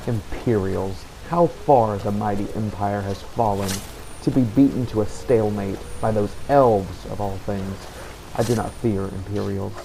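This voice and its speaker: A man voicing a solemn, devout warrior who hunts the undead, narrating a game journal in measured, formal words. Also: on the low side, vocal fry. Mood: disdainful, resolute. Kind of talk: in-character journal narration over a video game. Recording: noticeable background noise.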